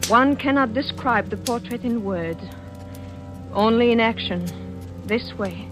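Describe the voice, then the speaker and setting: A woman's voice: fairly high pitch, a little slow, natural voice. A woman playing an unhappy, cowed wife with a restrained, formal manner in 1940s radio suspense style. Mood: anguished, reluctant. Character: unhappy, cowed wife, weary and guardedly bitter. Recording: old radio broadcast with prominent music.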